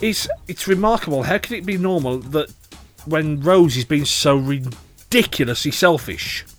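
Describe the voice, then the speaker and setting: An opinionated man chatting on a podcast, his pitch leaping up and down as he gets worked up. He talks in a slightly high voice, with faint music behind him. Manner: animated, opinionated, quick to joke. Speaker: podcast co-host. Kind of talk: casual chat.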